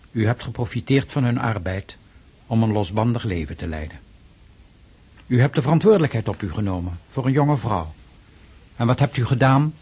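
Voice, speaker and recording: An actor playing a stern, pious Russian freemason in a radio drama, speaking formally and insistently with a husky voice. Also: male voice, medium pitch, a little mellow. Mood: stern, reproachful. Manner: formal, measured, preaching, insistent.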